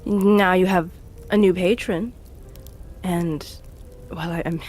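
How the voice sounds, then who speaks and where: A woman playing a gentle, caring unicorn adventurer in an audio drama game, speaking in a halting, earnest, reassuring way, with music behind. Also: lowish voice, fairly even intonation. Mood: earnest, comforting.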